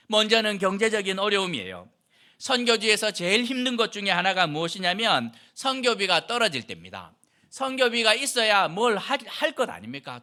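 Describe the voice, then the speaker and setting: A middle-aged man from Andong delivers a church sermon. His high, animated voice rises and falls as he explains things and asks rhetorical questions. The tone is strikingly bright and sharp. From Andong, South Korea. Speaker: pastor.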